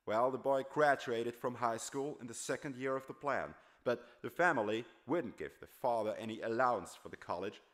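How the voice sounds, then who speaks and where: A man's voice: mid-range voice, a bit bright. A man reading a first-person novel aloud in a dramatic, storytelling style. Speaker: audiobook narrator.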